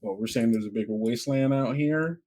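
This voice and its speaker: A man's voice: mid-range voice, brisk, a little mellow. A man casually talking players through a tabletop game as the game master and host.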